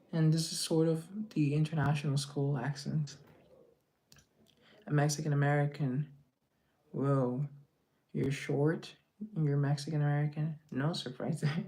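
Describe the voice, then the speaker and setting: Man, live-stream host, teasing and jokey, fairly high voice, gravelly texture, phone mic. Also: dark tone. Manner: teasing, joking, chatty. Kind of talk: casual live chat, reading viewer comments.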